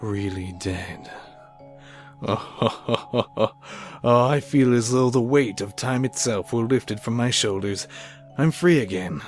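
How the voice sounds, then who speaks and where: A man's voice: mid-range voice, slow pace, soft background music, lightly acted. A man playing a fervent, troubled young scientist in a melodramatic, theatrical radio drama style. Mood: elated, tender. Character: anguished, fervent young male scientist, recovering from madness. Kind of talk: melodramatic old-time radio acting.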